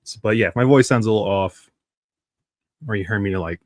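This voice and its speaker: A man's voice: lowish voice, a little fast, a little mellow, no echo, studio quality. A man with a stuffy nose from the flu, chatting casually and rambling on a live stream. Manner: rambling, chatty, reads comics aloud.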